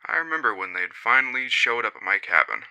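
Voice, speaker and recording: A man voicing a male witness who recounts his friends' strange encounter in a measured, puzzled and skeptical way. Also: mid-range voice, sing-song, bright, forceful tone, smooth, full character voice, poor audio. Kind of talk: first-person reading of a witness account.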